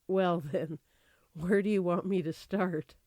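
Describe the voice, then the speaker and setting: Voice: medium pitch, steady tone, slow, a little mellow, no room sound, studio quality. A performer playing a polite, grateful, earnest young woman secretary in an old-time radio drama. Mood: grateful, eager.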